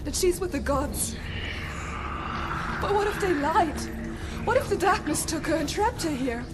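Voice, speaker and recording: A woman's voice: very high voice, lively intonation, over loud music. An actress playing an anguished, fragile young female warrior in a video game, fearful and pleading. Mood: troubled. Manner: pleading, halting.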